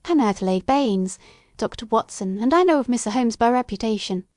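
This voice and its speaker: A woman plays a young Victorian office clerk in a storybook mystery. She is formal, respectful and earnest, with firmness underneath. Her voice sits fairly high and the sound is dry. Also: lively intonation, fairly quick, darkish tone, dry recording, close to an everyday voice. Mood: firm, respectful.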